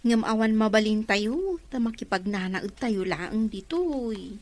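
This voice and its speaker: High-pitched deferential Ilocano house helper, played by a woman. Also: lively intonation, a little slow, no room sound. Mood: uneasy. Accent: Ilocano Filipino. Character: respectful, anxious female household helper, an aunt. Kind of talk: Ilocano radio drama acting.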